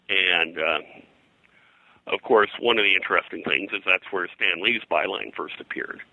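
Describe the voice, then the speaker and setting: Low, creaky-voiced man with a bright edge. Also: dry recording, low-quality recording. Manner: halting, detail-heavy, informative. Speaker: interviewed publisher and pop-culture historian. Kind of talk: conversational interview, explaining history.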